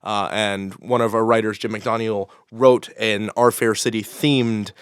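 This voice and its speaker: A man's voice: on the low side, steady tone, a little slow, clear, resonant tone, no echo, studio quality. A man being interviewed as an audio drama creator, chatting casually with wry, playful asides.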